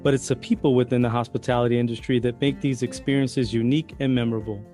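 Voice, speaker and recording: A man hosting an interview podcast in a conversational, somewhat halting way, often repeating words. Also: mid-range voice, steady tone, mellow, soft background music. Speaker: podcast host.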